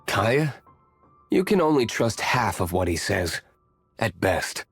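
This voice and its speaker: An actor playing an aloof, brooding young nobleman warrior in a video game, reserved and formal, speaking in measured, curt lines. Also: on the low side, big pitch swings, gravelly, a bit bright, breathy edge, no echo, faint music behind, full character voice.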